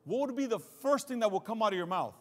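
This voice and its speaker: High-voiced male preacher in a reverberant hall. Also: expressive, quick pace. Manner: emphatic, dramatic delivery with repeated phrases and rhetorical questions. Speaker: pastor. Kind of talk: sermon preached to a congregation. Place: large hall with congregation and screen, streamed to viewers at home.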